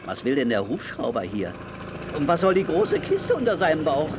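An actor playing a tough, good-hearted sailor hero in a children's audio drama, boastful, muttering and full of exclamations. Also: male voice, fairly high pitch, wildly swooping pitch, normal speed, noisy background. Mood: alarmed, defiant.

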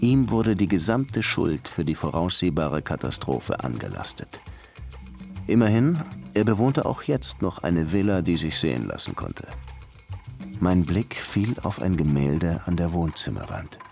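An actor playing a dry, world-weary police lieutenant who narrates his case in a measured, wry way for a crime radio drama. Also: deep voice, big pitch swings, vocal fry, a little mellow, soft background music, full character voice. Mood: reflective.

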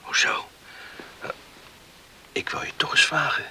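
An actor playing a loyal young farmhand in a radio play, earnest, hesitant and concerned. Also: male voice, a little slow, pushed and bright, whispered. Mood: hushed concern.

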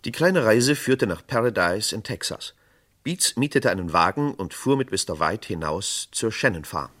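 A man narrating a vintage radio crime drama in a measured, matter-of-fact way. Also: on the low side, lively intonation, a bit bright.

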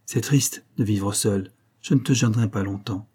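A man voicing a frail old female servant in a storybook reading, faint, soft and resigned, gently reproachful. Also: lowish voice, normal speed, slightly rough. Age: older. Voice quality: faint, lifeless voice.

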